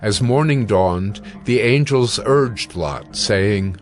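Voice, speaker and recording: A man's voice: on the low side, takes it slowly, faint music behind. A man narrating scripture as a scripted dramatized reading.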